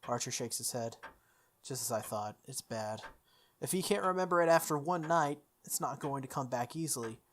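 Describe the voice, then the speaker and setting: A let's player of unclear sex reading a visual novel aloud, animated, breaking off for casual asides. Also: mid-range voice, moderate pace, slight background noise. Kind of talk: gameplay commentary and dramatic read-aloud. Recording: music behind the voice. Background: music.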